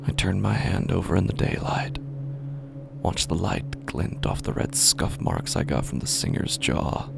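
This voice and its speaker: A male actor plays a sinister, self-pitying street musician narrating a horror podcast tale. His voice is very low and full of fry, sinking breathily toward a whisper. He speaks at a crawl, brooding and halting, with menace in the pauses. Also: sing-song, background noise, exaggerated voice. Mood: brooding, bitter. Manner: slow, halting, brooding delivery with drawn-out pauses. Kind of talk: first-person horror audio drama monologue. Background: music under the voice.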